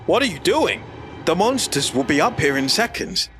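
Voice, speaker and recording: A man's voice: a little high, sing-song, moderate pace, a bit bright, slight background noise, voice pushed up. A man voicing a frantic young man who urges and pleads for help in an audio drama. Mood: alarmed. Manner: urgent, pleading.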